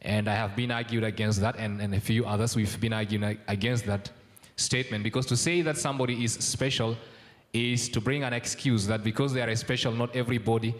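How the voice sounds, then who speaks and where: A young man from South Sudan giving a formal conference speech, measured and full of ums and uhs. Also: on the low side, fairly even intonation. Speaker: conference speaker. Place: large conference hall. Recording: long hall reverb.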